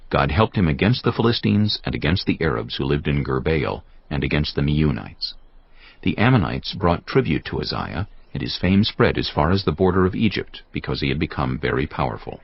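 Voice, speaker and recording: A man narrating a dramatised scripture reading in a measured, solemn way. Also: rumbling low, vocal fry, husky. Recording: slightly muffled with soft music.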